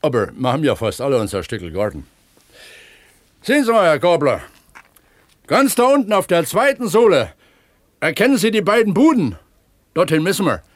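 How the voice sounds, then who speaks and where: An actor playing an eager, earnest pump attendant at an opencast mine in a naturalistic radio drama, explaining things like a tour guide. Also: male voice, on the high side, wildly swooping pitch, normal speed, long pauses, no echo. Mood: explanatory.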